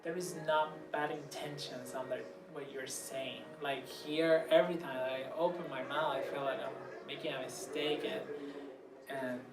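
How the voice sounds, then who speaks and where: A man's voice: mid-range voice, fairly even intonation, normal speed. A man from Puerto Rico with a Puerto Rican Spanish accent and Spanish as his first language, giving thoughtful answers in a casual interview and sometimes searching for English words. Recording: roomy, distant mic, background noise.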